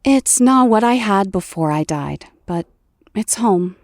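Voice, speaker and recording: A woman playing a compassionate superheroine in an audio drama, with a gentle, halting, sincere delivery. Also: medium pitch, expressive, dry recording, clean studio sound. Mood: gentle, candid.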